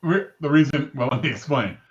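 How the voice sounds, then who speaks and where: A man hosting an interview show, chatty with quick asides. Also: medium pitch, animated, crowd noise. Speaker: host. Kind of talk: casual interview chat. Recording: dull lo-fi call audio.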